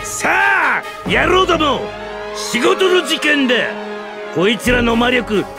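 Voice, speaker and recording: A man voicing a huge boastful villainous monster gang boss in a video game, with a bullying, theatrical, rough gangster way of talking. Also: extremely high pitch, sing-song, slow pace, heavily acted. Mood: gloating, menacing. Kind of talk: video game cutscene acting. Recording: music behind the voice.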